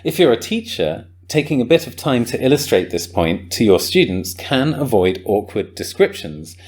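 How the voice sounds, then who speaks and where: A man's voice: mid-range voice, big pitch swings, normal speed, a little mellow. A man hosting a podcast, explaining grammar ideas in a casual, thoughtful way, with frequent ums and likes and bursts of enthusiasm. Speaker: podcast host and English teacher.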